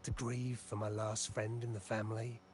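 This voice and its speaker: A man's voice: on the low side, level tone, normal speed, background noise, close to an everyday voice. An actor playing a weary, embittered old man in a video game, speaking in a solemn, rueful way. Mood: bitter, grieving. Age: older. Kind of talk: scripted video game dialogue.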